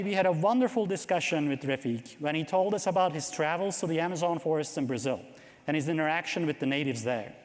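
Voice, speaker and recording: A man introducing a keynote speaker in a measured, formal way from a lecture hall. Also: mid-range voice. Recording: hall reverb.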